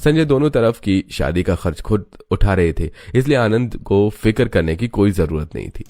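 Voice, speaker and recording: A man's voice: lowish voice, animated, moderate pace, mellow, no room sound, clean studio sound. A man reading scripted moral-story narration as a storyteller.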